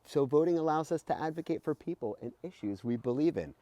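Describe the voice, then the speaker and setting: A middle-aged American man, a teacher reading aloud to children with an expressive, emphatic delivery and stretched key words, recorded outdoors at close range. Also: fairly high pitch, quick pace, no echo. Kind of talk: animated children's read-aloud with asides. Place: outdoors beside a house in a garden.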